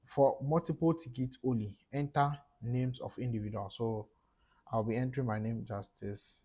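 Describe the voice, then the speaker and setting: A young man from Ghana with a Ghanaian English accent, talking viewers through a screen-recorded tutorial step by step, with fillers and pauses. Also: medium pitch, steady tone, mellow, breathy edge. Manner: casual explaining, talks through each step, halting with fillers. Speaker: tech YouTuber. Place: small room indoors.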